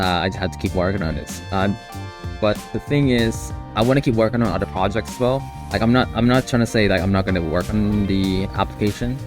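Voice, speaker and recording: A man talking casually on a live stream as a developer, in a halting way, often repeating and restarting his phrases. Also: deep voice. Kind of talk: casual live stream talk about a coding project.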